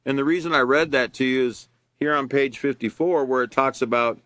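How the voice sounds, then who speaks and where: A loud, earnest man leads a live class, reading passages aloud and then talking them through. His voice sits at an ordinary middle pitch, and the sound is dry and close to the mic. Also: steady tone, fairly quick, no room sound. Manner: loud, earnest, with many fillers and restarts. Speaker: class teacher. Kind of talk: reading and explaining a lesson live.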